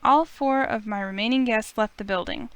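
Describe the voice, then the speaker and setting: A woman's voice: a little high, moderate pace, clear, resonant tone, dry recording. A woman reading a visual novel aloud as dramatic first-person narration, with music behind her. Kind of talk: dramatic narration of a game's text.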